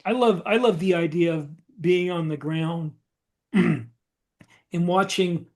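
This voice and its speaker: A man with a distinctly high-pitched voice chats as a podcast co-host. He describes things casually in short, halting phrases, pausing and repeating words along the way. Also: darkish tone. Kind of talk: casual discussion, describing story scenes.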